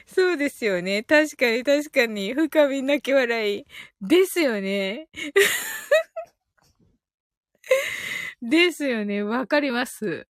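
A woman's voice: sits high, big pitch swings, extremely slow, pauses often, no echo, studio quality. A woman hosting a casual, chatty livestream English lesson, often giggling as she talks. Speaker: livestream host teaching English phrases. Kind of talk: casual chatty livestream, reading listeners' comments.

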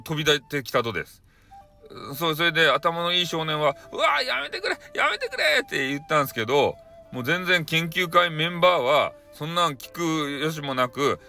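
A man's voice: fairly high pitch, bright, forceful tone. A man narrating a bedtime folktale in Hakata dialect, rambling with fillers and playful asides. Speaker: storyteller.

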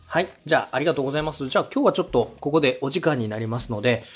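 A man in his thirties speaks as a podcast host, fast and very animated in pitch. His voice is slightly husky, and he gives advice politely and with encouragement over faint music. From Osaka, Japan. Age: 30s. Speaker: podcast host and radio director.

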